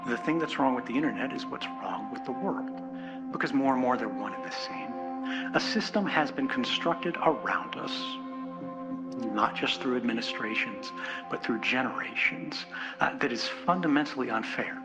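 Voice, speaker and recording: Man, mid-pitched voice, animated intonation, earnest public speaking, rhetorical repetition, clear music bed behind. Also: moderate pace, dull audio. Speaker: conference speaker. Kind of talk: political keynote speech.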